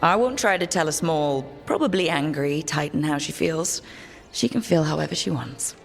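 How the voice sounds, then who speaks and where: Low-voiced, steady adventurer woman. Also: normal speed, vocal fry, faint music behind. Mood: supportive. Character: steady, supportive female adventurer and leader. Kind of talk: video game voice acting.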